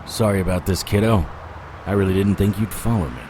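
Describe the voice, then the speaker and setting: A man's voice: deep voice, fairly quick, background noise, close to an everyday voice. An actor playing a wry, world-weary occult detective who narrates his own story in a noir-style audio drama. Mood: wry concern. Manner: wisecracking, sardonic. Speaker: lead actor and first-person narrator.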